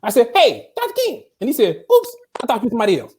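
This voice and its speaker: An actor playing a cranky, boastful old barbershop storyteller in a comedy table read, telling tall tales in a folksy, animated way. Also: male voice, very high-pitched, fast talker, slight background noise, voice pushed up, exaggerated voice.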